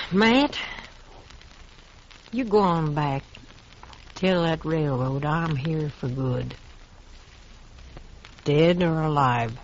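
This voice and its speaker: A woman playing a grief-worn, stubborn frontier widow in a 1950s radio western, speaking haltingly in plain rural words. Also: low-pitched, a little hiss or hum. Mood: defiant. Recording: muffled old 1950s radio recording.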